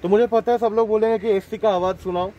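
A man's voice: high voice, fairly even intonation, fast talker, mellow, lo-fi sound, a little hiss or hum. A man talking casually to camera at a roadside, mixing languages. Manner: chatty, switches between Hindi, Bengali and English. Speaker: motovlogger. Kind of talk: casual vlog talk to camera. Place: roadside outdoors in a city. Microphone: action camera on helmet.

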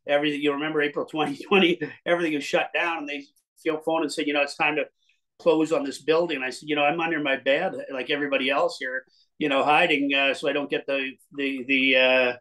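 An older Canadian-raised man talking in a relaxed, rambling way with many fillers, as an interview guest on a video call from a cottage. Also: fairly high pitch, fast, poor audio. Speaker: guest musician. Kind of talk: casual interview chat. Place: cottage room, indoors. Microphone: video call webcam.

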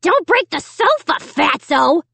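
A woman voicing a malicious male ventriloquist dummy in a storybook, raspy, harsh and taunting with rude insults. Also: very high-pitched, very animated, a little slow, bright, forceful tone, no echo, pitched above the performer's own voice, full character voice. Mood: mocking, harsh.

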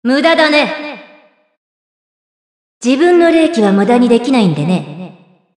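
Casting: young woman martial artist for a game, tough and self-assured, higher-set voice, unhurried, in long reverb. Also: sing-song. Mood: cool, confident. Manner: blunt, confident. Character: tough, cool young woman martial arts master in youthful form. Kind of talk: video game character voice lines. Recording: echoey, long reverb effect.

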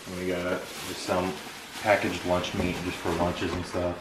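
A young American man with a very low voice talks casually and plainly, like a home vlogger. He is low-key and matter-of-fact. The recording is echoey, with clear room noise behind him. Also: completely flat delivery, moderate pace. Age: 20s. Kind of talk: casual grocery haul chat. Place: open kitchen and dining room at home. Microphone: camera a few feet away.

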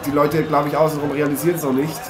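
A man playing a boxer who co-commentates in dramatic dismay, rambling and halting, in improvised parody live commentary. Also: fairly high pitch, flat delivery, a crowd in the background, natural voice. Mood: somber. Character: American boxer Matthew Ironhead co-commentating, dramatically aghast. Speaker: boxing co-commentator. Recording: noisy amateur recording with ambience.

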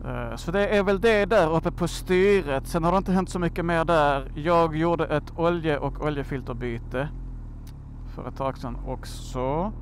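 A man with a slightly high voice talks casually while riding a motorcycle outdoors. He rambles along with jokey, slangy asides. Clear wind and traffic noise runs behind his voice. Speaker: motorcycle vlogger and host.

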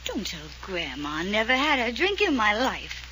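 An actress playing a coy, teasing young heiress who sounds tipsy, in an old-time radio mystery. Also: female voice, fairly high pitch, wildly swooping pitch, moderate pace. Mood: giddy, coy. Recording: noisy old radio recording.

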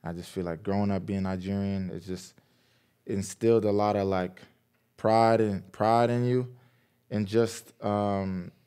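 A man in his twenties from Massachusetts, a guest in a casual podcast interview, telling stories in a relaxed, laid-back way with lots of "like.". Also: on the low side, measured pace, clear, resonant tone. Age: 20s. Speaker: guest, pro football player. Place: small indoor podcast studio. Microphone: boom mic on arm beside him.

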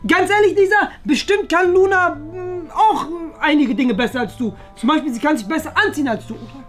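Performer as sporty schoolboy, high-pitched, cocky, vain, teasing, boastful, children's comedy role-play. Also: fairly quick, fairly bright tone, soft background music.

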